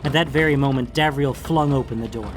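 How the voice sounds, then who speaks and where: A man's voice: mid-range voice, fairly quick, noisy background. A man reading a scripted fantasy story as an audiobook narrator, in a dramatic storytelling style. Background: background music.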